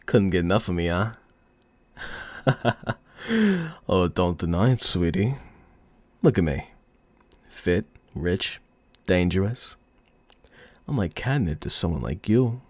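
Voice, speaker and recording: Casting: a man acting a cocky male mob boss for audio roleplay; deep voice, very lively intonation, put-on mobster accent, flirtatious menace, teasing drawl. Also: takes it slowly, long pauses, no room sound, heavily acted. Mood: cocky flirting. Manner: smug, teasing, theatrical drawl.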